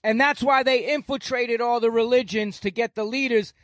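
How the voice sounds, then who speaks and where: A very high-pitched man in his sixties rants loudly, cursing constantly as a podcast guest. His voice is dry and close, with no room around it. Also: fairly even intonation, moderate pace, no room sound. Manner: loud, profane, ranting. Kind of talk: heated podcast conversation.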